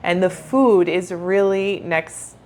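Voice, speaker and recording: Middle-aged female co-host from Canada, upbeat, easy laugh, low-ish voice. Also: fairly even intonation, takes it slowly, darkish tone, a little hiss or hum. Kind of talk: casual conversational travel chat.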